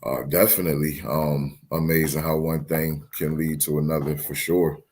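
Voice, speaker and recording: A young man with a very deep, low-pitched voice talks through an interview. He stops and restarts often, filling gaps with ums. He comes across as a guest telling his story in plain talk. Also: little pitch movement, normal speed, a little mellow. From Philadelphia. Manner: hesitant, repeats words with many ums. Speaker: guest, promotion company CEO.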